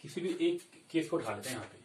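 A man's voice: medium pitch, animated, fast talker, recorded from a distance, slight background noise. A young man teaching at a chalkboard, explaining step by step and often checking in with "okay, kids". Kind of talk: chalkboard lesson. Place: classroom at a chalkboard. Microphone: camera set back from the board. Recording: echoey room, voice a bit off-mic.

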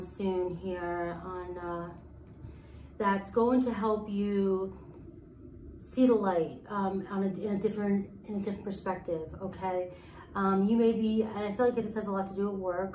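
Raspy-voiced woman reading tarot. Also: medium pitch, mellow, a little hiss or hum. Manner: rambling, thinks aloud with many fillers. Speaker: tarot reader. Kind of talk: casual tarot reading to camera. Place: sparse room, indoors, seated at floor level. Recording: echoey empty room.

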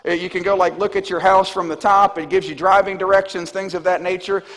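A man in his thirties, a pastor, preaching an animated sermon on a church stage. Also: sits high, a little fast. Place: large church stage, indoors. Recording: long hall reverb.

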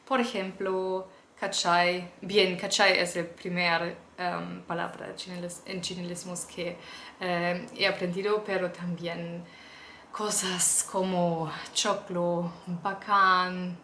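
A young woman, a native German speaker, chatting casually to camera at home as a vlogger, speaking haltingly with restarts as she learns the language. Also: lowish voice, fairly bright tone, reverberant, a little hiss or hum. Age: young adult. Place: small indoor room at home.

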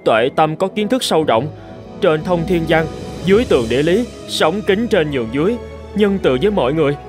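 An actor playing an earnest, respectful young monk in a cartoon folk tale, speaking deferentially. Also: male voice, on the high side, expressive, fairly quick, lightly acted. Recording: music under the voice.

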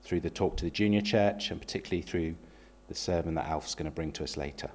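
A man in his thirties with a slightly croaky voice leads a church service, welcoming people and praying in a gentle, hesitant way with frequent ums, speaking into a stand microphone in a small church. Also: low voice, fast. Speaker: lay worship leader. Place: small church sanctuary, indoors. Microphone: stand microphone at a lectern.

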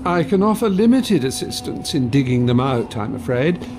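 A man's voice: mid-range voice, sing-song, moderate pace, noisy background. A man voicing a sly, teasing talking cat in a video game, with an arch, urbane manner. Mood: wry, aloof. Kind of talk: video game character acting.

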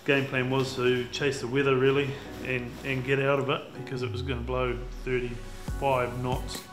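A young man with a New Zealand accent, chatting casually about a fishing trip, relaxed and full of fillers. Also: medium pitch, moderate pace. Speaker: fisherman. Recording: roomy sound with music behind.

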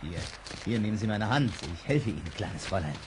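A man's voice: fairly low pitch, very animated, fairly quick. An actor playing a jovial, courteous boatman in a children's radio play. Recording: old noisy radio play with background ambience.

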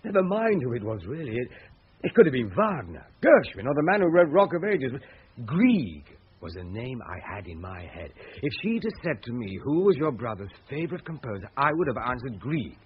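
Radio play: male actor as defensive sceptic writer, hesitant and prickly, animated pitch, dull recording. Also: moderate pace, natural voice. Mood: defensive, irritated. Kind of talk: radio drama acting.

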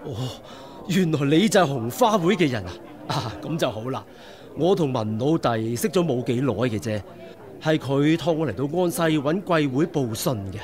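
A man's voice: on the high side, expressive, moderate pace, darkish tone, close to an everyday voice. A man with a Hong Kong Cantonese accent playing an elderly martial arts master in a radio drama, humble and formal in his speech. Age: older. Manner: humble, polite, speaks in old formal phrases. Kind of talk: 1990s radio drama acting.

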